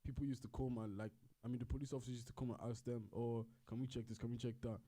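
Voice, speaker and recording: A man's voice: medium pitch, flat delivery, fast, warm tone. A man casually retelling a story in a rambling, repetitive way and correcting himself as he goes. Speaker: co-host.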